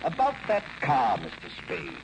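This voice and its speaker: An actor playing an older, courteous and sly gentleman crook in a 1940s-style radio drama, talking in formal, wordy sentences. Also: medium pitch, wildly swooping pitch, measured pace. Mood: genteel, sly. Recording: noisy old radio recording.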